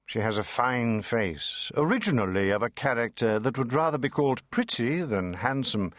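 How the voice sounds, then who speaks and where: A man narrating classic fiction as a dramatic audiobook, measured and savouring each phrase. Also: medium pitch, a bit bright, no room sound, studio quality.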